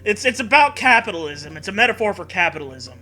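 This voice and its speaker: Sarcastic guy riffing on bad fanfic, swears a lot, really animated high voice, bit of music behind. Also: fast. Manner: sarcastic, mocking, profane. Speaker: co-host reading fan fiction. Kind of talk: comedic read-aloud with casual riffing.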